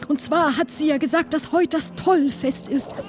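A woman playing an excitable, flustered female pirate crew member in an improvised audio play, talking theatrically with halting exclamations. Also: high voice, lightly acted. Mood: excited, chatty. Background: background music.

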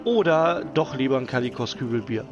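A man's voice: mid-range voice, lively intonation, fairly quick, close to an everyday voice. A man playing a friendly, chatty young tavern helper with a salesman's formality in an improvised pirate audio adventure. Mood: friendly, helpful. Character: friendly young male tavern helper, eager beer seller. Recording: dull lo-fi voice track under loud music.

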